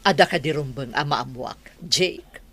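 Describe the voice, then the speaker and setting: A woman's voice: low voice, measured pace, sharp tone, faint music behind, heavily acted. An actress with an Ilocano accent playing a stern, suspicious, controlling middle-aged mother in a radio drama. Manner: stern, commanding.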